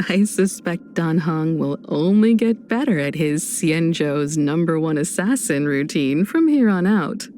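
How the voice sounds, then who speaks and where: Game space traveler, grown woman, low-pitched, soft mellow tone, composed mentor, reflective, gently teasing, faint background noise. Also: animated, a little slow. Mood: fond, amused. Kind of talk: video game character voice lines.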